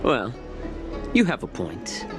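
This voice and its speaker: An actor playing a calm, wry healer and cook in a video game, speaking in a measured, explanatory, gently teasing way. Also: male voice, medium pitch. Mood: patient, playful. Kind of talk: dramatic video game acting. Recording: loud background music.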